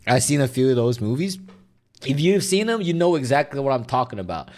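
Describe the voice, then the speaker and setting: A man's voice: mid-range voice, big pitch swings, brisk, darkish tone, a little hiss or hum. A young man whose first language is Mandarin, chatting loosely and profanely while reacting on a stream. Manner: rambling, profane, filler-heavy. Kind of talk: casual reaction stream chat.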